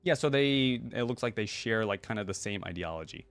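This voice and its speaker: A man hosting a reaction video, reading text aloud and thinking out loud in casual asides between passages. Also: lowish voice, animated, brisk, smooth.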